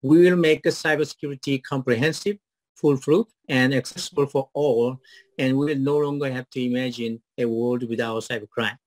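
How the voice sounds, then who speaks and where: A middle-aged Korean-accented man pitching his startup over a webcam, first in a rehearsed flow, then halting and full of fillers when questioned. His voice sits at an everyday middle pitch, and the sound is close and dry with no room echo. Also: steady tone, darkish tone. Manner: hesitant, many fillers and restarts when answering. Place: home office on video call. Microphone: video call webcam.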